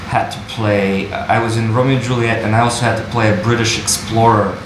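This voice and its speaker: A man's voice: on the low side, steady tone, fairly quick, background noise. Man musing in an echoey room. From Bulgaria. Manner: thoughtful, searching for words, frequent restarts. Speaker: actor and translator on a panel. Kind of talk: panel discussion answer. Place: wood-panelled university room, audience present.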